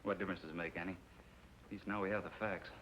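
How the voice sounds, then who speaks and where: An actor playing a matter-of-fact frontier deputy in an old television western, brisk and logical. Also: male voice, sits low, fairly even intonation, fairly bright tone. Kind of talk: 1950s television western acting. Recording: muffled old TV soundtrack, faint noise.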